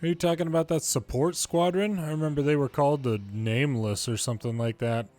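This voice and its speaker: A man's voice: on the high side, steady tone, fast, darkish tone. Man reading game dialogue over faint music. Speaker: longplay narrator reading game subtitles aloud.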